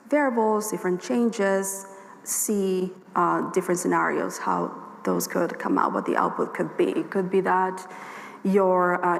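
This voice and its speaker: A woman with a hoarse voice giving a workshop presentation at a conference, explaining her points steadily and speaking straight to the audience. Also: fairly low pitch, a little hiss or hum. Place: conference hall. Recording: echoey hall with long reverb, faint ambience.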